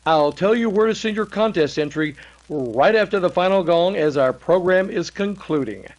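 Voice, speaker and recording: A man's voice: high voice, normal speed, highs cut off, close to an everyday voice. A man playing an earnest 1930s radio announcer, with a formal, salesmanlike scripted delivery. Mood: earnest, promotional.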